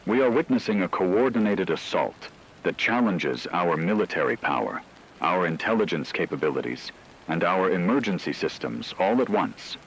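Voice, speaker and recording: A man playing a grave radio news anchor, delivering scripted breaking news in a solemn, measured, dramatic way. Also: low-pitched. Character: grave male radio anchor reporting a catastrophe. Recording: noisy hissy radio broadcast.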